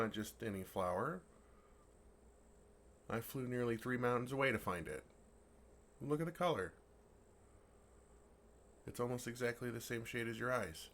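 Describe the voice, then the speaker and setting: A man's voice: mid-range voice, vocal fry, heavily acted. A man playing a friendly, naive male dragon who talks earnestly and playfully to a human in an ASMR roleplay. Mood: fond, earnest. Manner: earnest, playful, puzzled, soft chuckles. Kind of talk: ASMR roleplay, intimate one-sided dialogue.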